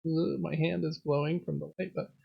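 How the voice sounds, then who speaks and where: A man with a fairly high voice and a gravelly fry hosts a casual games podcast. He talks in a chatty, explanatory way. The recording is dry and a little dull. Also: flat delivery, brisk, no room sound. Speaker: podcast host. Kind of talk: casual board game podcast chat.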